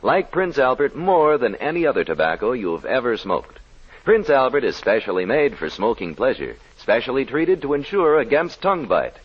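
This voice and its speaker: A man giving a punchy scripted sales pitch as a 1940s radio commercial announcer. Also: big pitch swings, normal speed, breathy edge. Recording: muffled vintage radio recording.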